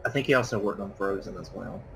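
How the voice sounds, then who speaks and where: A man's voice: sits low, fast talker, vocal fry, a bit bright, a little hiss or hum. A man chatting casually as a co-commentator on gameplay, trading banter.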